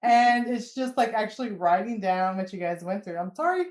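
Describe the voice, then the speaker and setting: A woman's voice: medium pitch, animated, moderate pace, darkish tone. A woman chatting casually on a podcast, commenting on a story as a novelist. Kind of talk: casual chat. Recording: roomy, echoing room sound.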